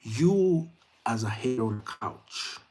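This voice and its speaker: A man's voice: medium pitch, animated, takes it slowly, a little mellow. A young man from Kenya hosting a late-night livestream, chatting directly to his viewers with frequent fillers. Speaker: YouTube livestream host. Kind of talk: casual livestream talk to viewers.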